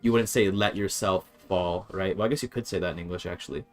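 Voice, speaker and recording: Guy streaming a game, chatty, muses out loud, soft music behind. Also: low voice, steady tone, fast. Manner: thinking aloud, chatty, reads chat and works through grammar. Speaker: streamer learning a language. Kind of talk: casual live-stream commentary.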